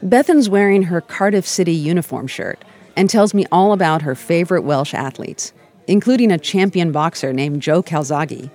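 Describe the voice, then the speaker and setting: An American woman giving scripted travel narration in a calm, reflective storytelling manner. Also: on the low side, moderate pace, a faint crowd. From United States.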